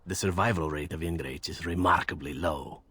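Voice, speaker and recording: An actor voicing a dry, sardonic male adventuring companion in a video game, with a deadpan delivery. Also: sits low, normal speed, breathy edge, no echo, full character voice.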